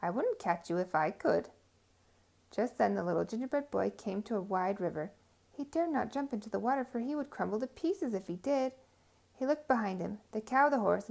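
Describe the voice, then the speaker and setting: Woman, high pitch, creaky, expressive children's story narration, emphatic phrasing.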